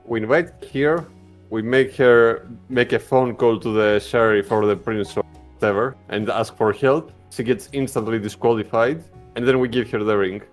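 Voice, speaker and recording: A man's voice: medium pitch, steady tone, normal speed, soft background music. A man talking casually through strategy as a player in a tabletop roleplaying game.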